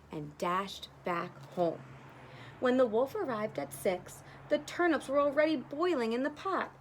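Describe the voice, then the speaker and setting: High-voiced woman teacher reading a storybook. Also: a little hiss or hum, rough recording. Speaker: teacher reading aloud to pupils. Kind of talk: expressive storybook narration with plain asides.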